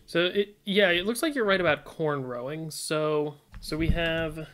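A man's voice: a little high, unhurried, a bit bright, slight background noise. A young man streaming from his desk, casually thinking aloud as he solves puzzles and reads viewers' suggestions. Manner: reads chat suggestions aloud, tentative musing. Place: indoor room at desk.

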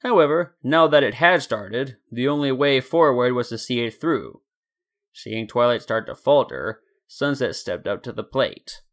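A man's voice: medium pitch, expressive, a little slow, fairly bright tone. A man narrating a scripted story in a dramatic reading style. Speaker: narrator and fan fiction reader.

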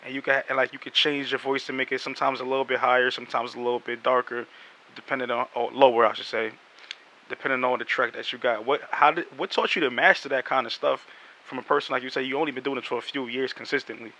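A man's voice: mid-range voice, fairly even intonation, fast, sharp tone, low-quality recording. A man hosting a casual hip hop interview from a small room at home with a headset, laid-back and conversational, with fillers between his questions. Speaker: interview host.

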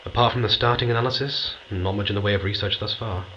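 Man playing a deep-voiced, dry astronaut scientist. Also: fairly even intonation, fast talker, sharp tone. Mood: dry, reflective. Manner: dry, understated. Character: dry, matter-of-fact male astronaut scientist keeping a log. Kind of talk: audio drama log narration. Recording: dull, noisy recorded log.

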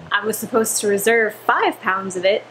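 A woman's voice: mid-range voice, a little slow, music in the background, low-quality recording. Chatty young woman in a kitchen. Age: young adult. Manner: chatty, self-correcting, laughs at herself. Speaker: vlogger. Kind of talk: casual chat to camera. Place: home kitchen. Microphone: camera on a counter.

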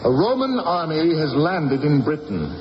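An actor playing a proud, passionate ancient British war leader in a radio drama, with a theatrical, rhetorical delivery. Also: male voice, on the high side, sing-song, measured pace. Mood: impassioned oratory. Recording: dull, hissy old radio recording, echoey hall.

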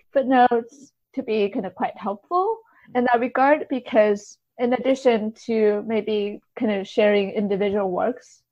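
A woman's voice: medium pitch, darkish tone, no echo, lo-fi sound. A woman giving conversational interview answers as a podcast guest, explaining things in a thoughtful, tentative way.

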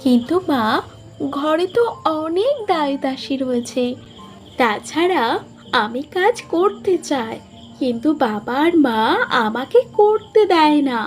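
Woman playing a plaintive, high-voiced young princess. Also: expressive, slow, soft background music. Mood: meek. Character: kind, innocent young princess, rejected and meek. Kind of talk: fairy-tale cartoon acting.

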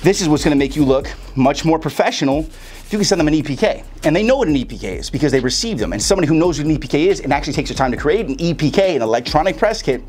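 A man's voice: fairly high pitch, a little mellow. A young American man giving casual, slangy instruction to camera, speaking emphatically and often checking in with the listener. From Chicago, United States. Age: young adult. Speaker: host of a music marketing video for rappers. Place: small home studio room, indoors.

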